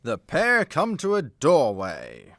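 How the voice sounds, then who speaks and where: A man's voice: on the high side, huge pitch swings, slow pace, creaky, a bit bright, no echo, clean studio sound. A man narrating a scripted comedy radio play, setting each scene as storyteller.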